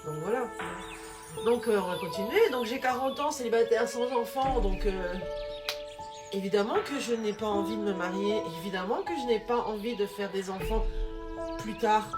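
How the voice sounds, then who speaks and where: A woman's voice: medium pitch, normal speed, music in the background. A woman in her forties talking casually in a monologue, with an emphatic, singsong delivery. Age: 40s. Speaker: content creator talking about single life. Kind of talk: casual repeated-phrase monologue.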